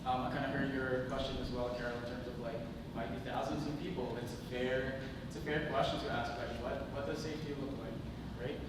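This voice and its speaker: A man's voice: mid-range voice, little pitch movement, brisk. A man speaking informally as a forum panelist, putting questions to the audience in a casual, tentative way. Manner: casual, questioning, hedging with "like" and "right". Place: large indoor arts venue. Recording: noisy, echoey hall recorded from far off.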